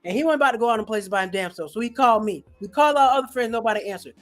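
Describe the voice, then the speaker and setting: Comedian host, casual slangy storyteller, middle pitch, faint music underneath. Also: rapid-fire, fairly bright tone. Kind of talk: casual livestream chat.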